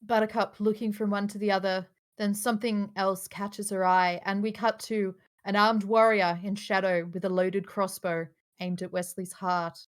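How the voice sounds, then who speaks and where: A woman's voice: mid-range voice, little pitch movement, moderate pace, hardly any pauses. A woman reading out screenplay stage directions at a casual live table read, with occasional offhand asides.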